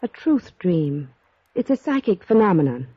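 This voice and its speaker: A woman's voice: on the low side, sing-song, normal speed, breathy edge, no echo. An actress playing a poised, earnest young woman, forward yet politely formal, in 1950s radio drama style. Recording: dull vintage radio recording, sometimes telephone.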